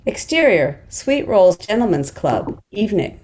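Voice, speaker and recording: A woman's voice: medium pitch, big pitch swings, measured pace, a little mellow, slight background noise. A woman reading scene directions in scripted narration at a table read. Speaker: stage direction reader.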